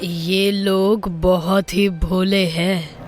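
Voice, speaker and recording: Sinister lady doctor, acted by a woman: slow, level, ominous inner voice for horror animation, faint music. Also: lowish voice, little pitch movement, darkish tone. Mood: sinister, scheming. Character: secretly sinister village doctor woman, menacing.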